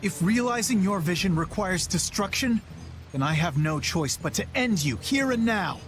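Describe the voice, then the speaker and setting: A man's voice: sits high, lively intonation, moderate pace, over music. A man voicing an idealistic young knight, righteous, formal and intense, in a video game cutscene. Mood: resolute.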